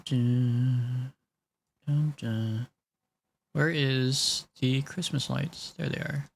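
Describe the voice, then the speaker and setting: A man's voice: mid-range voice, vocal fry. A man in his late twenties casually chatting with viewers on a live stream while he draws, rambling and laughing as he goes. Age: 20s. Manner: rambling, chatty, laughs often, talks to chat.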